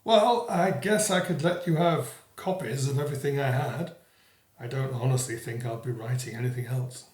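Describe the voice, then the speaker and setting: An actor playing a kindly, modest elderly retired police sergeant and author, speaking in a wistful, slightly hesitant way in an audio drama. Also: male voice, mid-range voice, moderate pace, darkish tone, reverberant, lightly acted.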